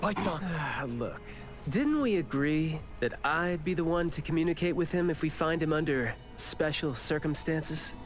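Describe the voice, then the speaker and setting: Man acting a playful, lively young anime guy. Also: fairly high pitch, moderate pace, lightly acted. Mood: teasing. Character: playful, teasing young male anime game character, needling and inquisitive. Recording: game music behind the voice.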